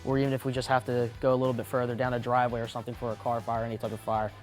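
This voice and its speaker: A man's voice: mid-range voice, little pitch movement, rapid-fire, soft background music. A man, a volunteer fire chief, answering interview questions in a practical, plain-spoken, matter-of-fact way.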